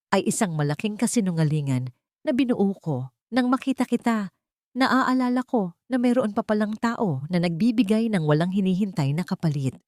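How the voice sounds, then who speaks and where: A woman's voice: mid-range voice, no echo, voice pushed up, close to an everyday voice. Woman acting humbled weary man, audio drama, earnest confession, remorseful, dry recording.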